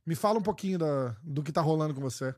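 A Brazilian host with a notably high voice chats loosely with his guest, full of slang and restarts. The sound is close and dry, with no room around it. It comes across as a relaxed studio conversation. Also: fast talker, no echo. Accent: Brazilian Portuguese. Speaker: podcast host. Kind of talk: casual interview chat.

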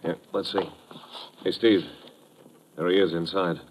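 A man's voice: deep voice, fairly even intonation, measured pace. A man playing an earnest, cooperative sidekick to an investigator in an old radio crime drama. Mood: wary. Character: earnest, deferential male sidekick investigator Conlon. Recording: muffled, very noisy vintage radio recording.

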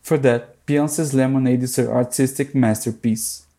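A man's voice: mid-range voice, steady tone, normal speed, warm tone. A man from Brazil leaving a thoughtful recorded listener message.

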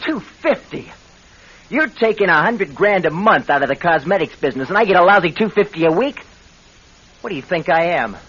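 An actor playing a sardonic, spendthrift husband in an old-time radio drama, with a breezy way of talking. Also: male voice, sits high, animated, fairly quick, a bit bright, husky, heavily acted. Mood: indignant. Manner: sarcastic, breezy. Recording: dull, hissy vintage radio recording.

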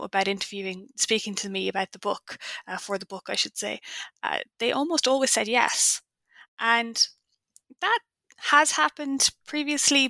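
A woman's voice: medium pitch, sharp tone, no room sound. A woman with an Irish accent giving candid, reflective, conversational answers as a science author being interviewed. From Ireland.

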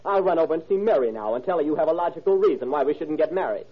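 A man's voice: fairly high pitch, animated, very fast, husky. An actor playing an angry, sarcastic young son of a wealthy father in a 1930s American radio drama. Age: young adult. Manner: heated, sarcastic, argumentative. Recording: muffled vintage radio drama recording.

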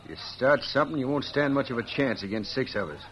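A menacing American cowboy outlaw, played by a male actor gives orders in a folksy, threatening way. His mid-pitched voice comes through a dull, noisy vintage radio western recording. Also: expressive, fast talker, a bit bright, lightly acted. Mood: menacing, taunting. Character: bossy, menacing cowboy henchman leading an outlaw gang. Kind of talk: old-time radio western acting.

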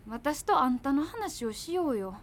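An actress playing a blunt, impatient young woman with a Kansai accent in a naturalistic radio drama, speaking briskly and colloquially. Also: high-pitched, very animated, a little hiss or hum. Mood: exasperated. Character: blunt, impatient young woman moving house, fed up with culture talk.

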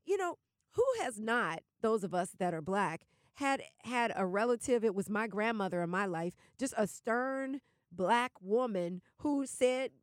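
A woman's voice: medium pitch, expressive, measured pace, no room sound, clean studio sound. A woman co-hosting a casual talk show with a cold, earnest and opinionated. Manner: earnest, opinionated, laughs easily. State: has a cold. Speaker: co-host. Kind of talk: casual chat.

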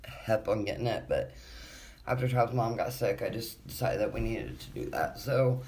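A woman hosting her own podcast in casual, rambling chat, her voice raspy and wheezy from allergies, swearing freely. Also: a bass voice, lively intonation, moderate pace, darkish tone, slight background noise. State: congested from allergies. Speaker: podcast host.

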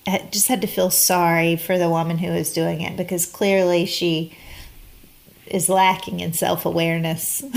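A woman's voice: fairly low pitch, creaky. A woman in her forties, an interior designer, chatting as a guest in a friendly, bubbly way with frequent ums. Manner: bubbly, laughs often, halting with ums. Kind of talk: casual conversational interview.

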